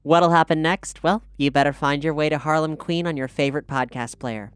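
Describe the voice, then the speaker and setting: A man's voice: fairly high pitch, fairly even intonation, fairly quick, a bit bright, clear, resonant tone, dry recording, studio quality. A man hosting a podcast, reading a scripted outro and recommendation straight to listeners. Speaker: podcast host.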